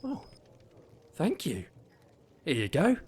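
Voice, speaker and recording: A man's voice: on the high side, huge pitch swings, slow, a little mellow, a little hiss or hum, full character voice. A man playing a chatty, gossiping barkeep who uses crude slang.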